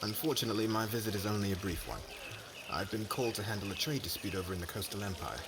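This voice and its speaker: A man's voice: on the low side, quick pace, heavy background noise, close to an everyday voice. A man playing an earnest, polite and somewhat hesitant fire mage hero in an ASMR roleplay. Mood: polite, modest. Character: earnest heroic young male fire mage, shy suitor.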